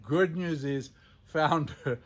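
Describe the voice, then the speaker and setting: A man telling stories in an animated, unscripted way, theatrical and given to dramatic pauses. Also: on the high side. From Zimbabwe. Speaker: storyteller, sculptor presenting his own elephant figures.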